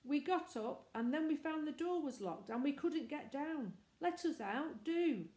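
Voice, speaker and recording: A high-voiced, plucky little boy, as a woman reader acts him out. He sounds anxious yet defiant, with polite old-fashioned storybook phrasing. The sound is echoey. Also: expressive, a little slow, darkish tone. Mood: anxious, pleading.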